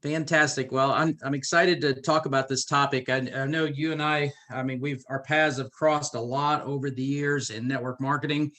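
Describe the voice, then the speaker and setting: A middle-aged man speaks as the host of a business training interview. His voice sits at an average pitch. He comes across as enthusiastic and encouraging while talking conversationally to his guest. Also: level tone, moderate pace, hardly any pauses, pushed and bright.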